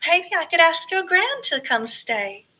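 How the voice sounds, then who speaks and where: A woman's voice: very high voice, big pitch swings, measured pace, pushed and bright, pitched above the performer's own voice, exaggerated voice. A woman voicing a cheerful, hopeful, playful mother in an audiobook story.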